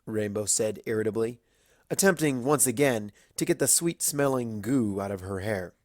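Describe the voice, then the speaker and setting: A man's voice: fairly low pitch, moderate pace, no echo, studio quality. A man reading a scripted story aloud as its narrator.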